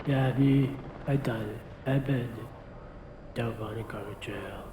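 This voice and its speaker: A man playing a gruff homeless suspect. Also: mid-range voice, a little slow, echoey room, noisy background, natural voice. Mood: sullen. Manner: terse, clipped, ungrammatical speech. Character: homeless male arson suspect, evasive and stubborn. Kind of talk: amateur radio drama acting.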